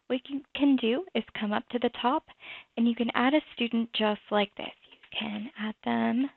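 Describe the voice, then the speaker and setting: Woman with a creaky voice demoing software, tinny. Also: a little high, no room sound. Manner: conversational, explanatory. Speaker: software demo presenter. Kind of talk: screen-recorded software walkthrough. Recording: tinny phone-like dry audio.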